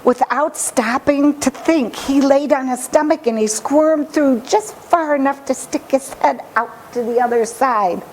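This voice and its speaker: A woman narrates a children's story in a fairly high voice. She takes her time, phrasing each sentence deliberately and dramatically. There is faint noise in the background of the recording. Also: darkish tone, rough recording. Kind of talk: expressive children's story reading.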